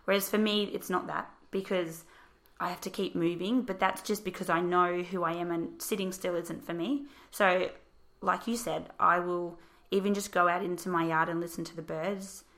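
A woman teacher, speaking thoughtfully and patiently in a casual discussion, her voice a little croaky. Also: on the low side, fairly even intonation, moderate pace, few pauses, fairly bright tone.

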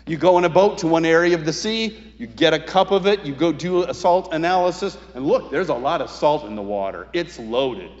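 A man preaching a sermon as a pastor, speaking in a reflective, deliberate way with emphatic pauses. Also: a little high, darkish tone. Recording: reverberant hall, slightly dull sound.

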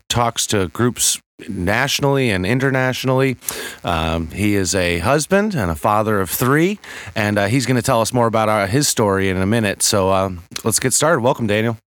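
Guy podcast cohost, kinda low voice, dry sound, casual interview talk. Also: a bit bright, dry recording. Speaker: podcast co-host. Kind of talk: conversational hosting.

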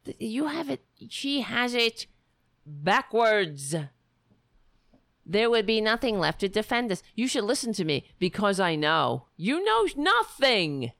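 A woman hosting a political livestream with a worn, raspy voice, talking bluntly and sarcastically while reading the chat. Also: mid-range voice, sing-song, takes it slowly, a bit bright, dry recording, studio quality. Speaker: livestream show host.

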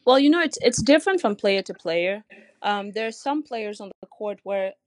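A woman's voice: mid-range voice, expressive, moderate pace, no room sound. A young woman, a tennis coach whose first language is Russian, answering interview questions in a thoughtful way with many fillers and restarts. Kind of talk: podcast interview guest.

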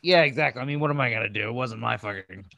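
A man's voice: medium pitch, racing, vocal fry, bright, forceful tone. A man chatting casually as a podcast co-host, bantering and swearing freely. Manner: profane, bantering. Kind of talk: casual chat.

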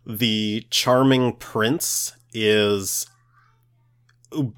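Male podcast co-host, lowish voice, explains lore conversationally, somewhat halting delivery. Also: fairly even intonation, fairly bright tone, clear, resonant tone.

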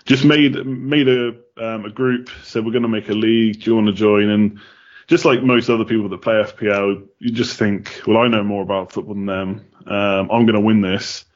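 Chatty low-voiced British-raised man. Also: steady tone, normal speed, dull audio. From United Kingdom. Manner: chatty, many ums and restarts. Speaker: podcast guest. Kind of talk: casual interview answers.

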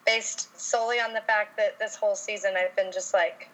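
A woman's voice: mid-range voice, steady tone, normal speed, a bit bright, poor audio. Texas woman on a podcast, laid back, rambles with lots of ums, medium voice. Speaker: podcast co-host. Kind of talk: casual podcast chat.

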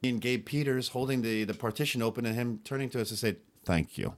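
A man's voice: medium pitch, steady tone, fast talker. A man, telling his life story casually as a podcast guest. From Geneva, Switzerland and United States.

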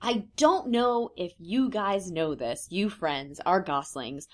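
Woman in her thirties, podcast co-host, low voice, slight vocal fry, thoughtful and hesitant. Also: lively intonation, slow. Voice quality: lower-pitched voice with some vocal fry. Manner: thoughtful, hesitant with many ums. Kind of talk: casual conversational chat.

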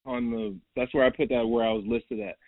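A man's voice: mid-range voice, steady tone, brisk, no room sound. A young man from New York, a basketball guest in a casual interview, rambling and repeating himself with lots of "like" and "bro". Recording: tinny phone-like line, dry.

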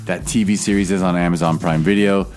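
A man in his late thirties with a low, deep voice hosts a casual podcast chat, candid and jokey with the odd swear word. Soft music plays faintly behind him. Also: fairly even intonation, fast. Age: late 30s. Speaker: podcast host.

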